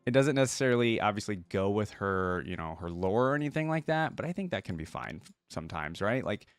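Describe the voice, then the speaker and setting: A man's voice: on the low side, animated, fairly quick, smooth, dry recording, faint music behind. A man hosting a reaction video, talking informally to viewers and thinking aloud as he comments. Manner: informal, thinking aloud, many likes and you-knows.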